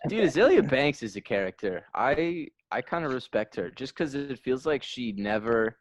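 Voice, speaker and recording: Male podcast co-host, expressive swooping pitch, casual profane comedic chat. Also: moderate pace.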